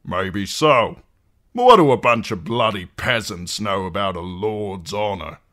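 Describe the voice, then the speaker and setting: A man voicing a big, rough outlaw in an audiobook, brusque, blunt and full of contempt. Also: fairly low pitch, lively intonation, unhurried, bright, forceful tone. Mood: grim contempt. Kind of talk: audiobook character acting.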